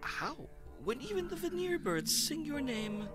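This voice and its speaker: A man playing a fawning, cowardly alien servant, nervous and full of florid flattery, in a campy sci-fi comedy audio drama. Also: very high voice, unhurried, over music, heavily acted.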